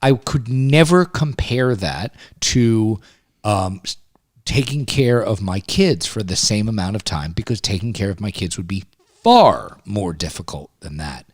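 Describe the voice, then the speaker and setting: Male podcast host, lowish voice, dry sound, emphatic and chatty. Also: expressive, a little slow, no room sound. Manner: emphatic, talkative, with many restarts. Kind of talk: casual podcast chat.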